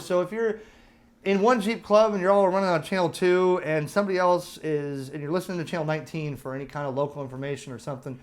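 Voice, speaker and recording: An American man giving a casual, chatty product review, explaining features step by step in an informal way. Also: fairly high pitch. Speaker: host of a radio review channel.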